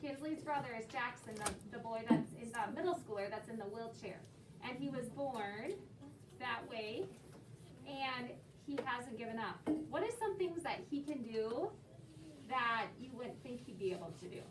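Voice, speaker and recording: A woman's voice: fairly high pitch, lively intonation, moderate pace. An American woman teaching a class of children, encouraging them and prompting with questions. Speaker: elementary school teacher. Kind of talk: leading a class discussion of a read-aloud. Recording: roomy, noisy classroom, distant mic.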